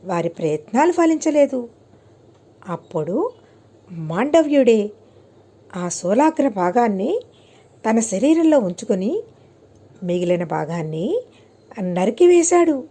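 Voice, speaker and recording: A woman narrates moral stories for children in a scripted style, with measured, deliberate phrasing and frequent pauses. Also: on the high side, very animated, dark tone. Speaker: storyteller.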